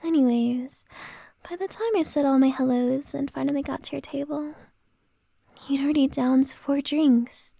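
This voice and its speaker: A woman performing an ASMR roleplay as a flirtatious, teasing female boss, speaking in a soft whisper close to the mic. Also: high-pitched, moderate pace, creaky, dry recording. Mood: flirty.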